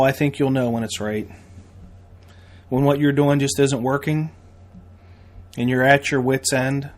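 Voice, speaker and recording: Emphatic American man pitching on a podcast. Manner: emphatic, folksy sales delivery with repetition and rhetorical questions. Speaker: podcast host and firefighter training instructor. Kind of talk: promotional podcast monologue.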